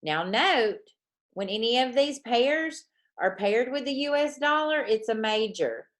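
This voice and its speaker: A woman teaching a trading lesson, dictating slowly and repeating points, often checking in with "okay?". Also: on the high side, lively intonation. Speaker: forex trading coach.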